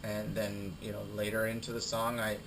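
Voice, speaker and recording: A young adult man with a cold giving a recorded class presentation, halting and full of fillers and repeated words. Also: fairly low pitch, completely flat delivery, noisy background. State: has a cold, losing his voice. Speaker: student presenter.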